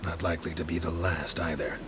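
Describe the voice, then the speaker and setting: Man acting a very deep, flat monster hunter. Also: brisk, background noise, close to an everyday voice. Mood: grim, observing. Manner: deadpan, terse, clipped sentences. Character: gruff, world-weary, terse monster hunter, a long-lived man. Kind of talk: video game voice acting.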